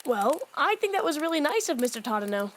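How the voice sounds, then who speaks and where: A woman's voice: high voice, sing-song, quick pace. A woman playing a young fox demon boy, earnest and easily shocked, in a fan audio drama. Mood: earnest, wistful.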